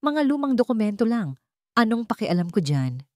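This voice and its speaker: A woman's voice: on the high side, sing-song, moderate pace, darkish tone, no echo, studio quality, pitched above the performer's own voice. A woman voicing an arrogant, ruthless old rich father in an audio drama, boastful and dismissive.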